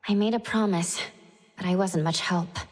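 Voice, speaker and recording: A woman's voice: on the low side, steady tone, normal speed, faint music behind, natural voice. Earnest young woman with long reverb acted. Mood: modest. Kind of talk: video game voice acting.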